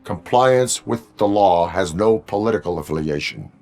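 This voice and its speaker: An actor playing a stern cyborg police officer in a video game, speaking in a formal, measured, robotic deadpan. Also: male voice. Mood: stern, flat. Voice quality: deep, measured voice.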